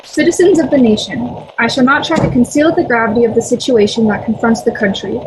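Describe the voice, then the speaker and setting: A woman's voice: a little high, brisk, natural voice. A woman playing a solemn government official addressing the nation formally in a radio play. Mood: solemn, reassuring. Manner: formal, oratorical. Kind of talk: radio drama acting. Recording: clearly noisy background.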